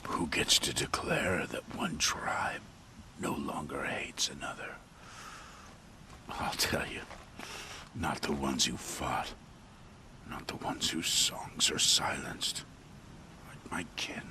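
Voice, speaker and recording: Bitter game villain, extremely deep, slow, gravelly, half-whispered, menacing. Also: male voice, sharp tone, full character voice. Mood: bitter menace.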